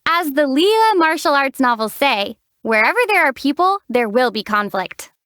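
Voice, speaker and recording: A level-headed young traveler heroine, her voice high for a woman and recorded close and dry. She answers in a calm, gently wry way, with steady, unhurried delivery. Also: dry recording. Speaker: game protagonist. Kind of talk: video game character dialogue.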